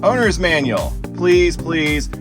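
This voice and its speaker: A man's voice: on the high side, very animated, takes it slowly, music in the background. A man hosting a product review video, talking to viewers in short, simple explanatory phrases. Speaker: host of a gear review channel.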